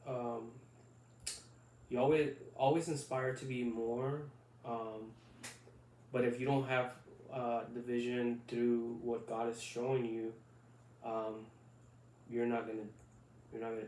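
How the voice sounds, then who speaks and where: A man in his thirties from Puerto Rico co-hosting a casual podcast, talking haltingly with many fillers and restarts. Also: medium pitch, darkish tone. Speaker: podcast co-host. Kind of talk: casual chat. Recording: echoey room, mic at a distance, faint noise.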